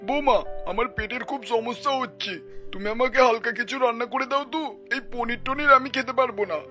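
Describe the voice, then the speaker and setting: A man's voice: extremely high pitch, normal speed, bright, forceful tone. A man voicing a querulous, wary elderly father-in-law in a cartoon. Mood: complaining. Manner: querulous, suspicious. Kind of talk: Bengali cartoon voice acting. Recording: music bed behind the voice.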